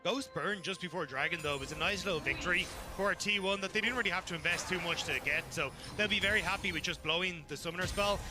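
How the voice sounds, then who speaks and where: A high-voiced man gives live esports analysis. He explains the teams' plays over clearly audible background music. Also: fast talker. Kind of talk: live game commentary. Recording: clear music behind broadcast audio.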